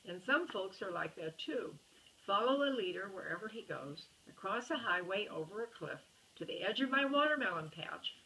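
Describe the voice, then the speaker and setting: A kindly old farmer bear, gruff and patient, drawling out folksy advice in a storybook reading, as a woman puts him on. The voice stays bright and a little lower than her own. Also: lively intonation, moderate pace, distant mic, slight background noise, rough recording.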